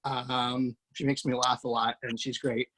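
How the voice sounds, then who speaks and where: A man's voice: mid-range voice, flat delivery, measured pace, a bit bright, breathy edge, low-quality recording. Man hosting with chatty, effusive introductions. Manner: effusive, praising, loose with fillers and restarts. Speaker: host introducing a table-read cast. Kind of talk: casual spoken introductions.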